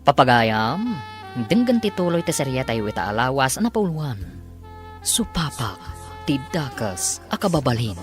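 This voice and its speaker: A woman's voice: extremely low pitch, big pitch swings, a little slow. A woman narrating and announcing a scripted radio drama. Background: music behind the voice.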